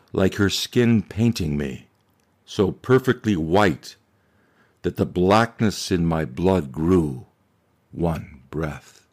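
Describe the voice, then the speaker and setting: Very deep, slow man reciting poetry. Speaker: poet.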